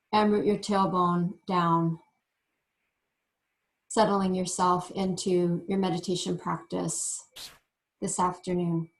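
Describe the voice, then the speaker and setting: A woman teaching yoga meditation, giving gentle instructions in short phrases with frequent pauses. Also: fairly low pitch, poor audio. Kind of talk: guided meditation.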